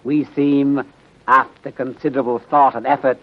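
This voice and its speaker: A muffled 1930s radio recording carries a man playing a wise Chinese detective inspector. He is courteous and formal, with a medium-pitched voice. His deliberate, clipped phrasing drops small words and leans toward proverbs. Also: flat delivery, lightly acted. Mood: grave, resolute. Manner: deliberate clipped syntax dropping articles, formal, aphoristic. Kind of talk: 1930s radio drama acting.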